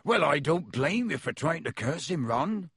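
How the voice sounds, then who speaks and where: Man voicing a big gruff half-giant gamekeeper, growly, rustic, hearty, husky edge, dry close sound. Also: a little high, sing-song, moderate pace, a bit bright, dry recording, voice pushed up, exaggerated voice.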